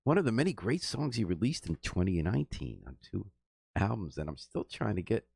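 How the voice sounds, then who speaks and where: A middle-aged man hosting a local music show, giving casual, conversational introductions with some halting phrasing. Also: fairly low pitch, sing-song, gravelly, darkish tone, husky, no room sound.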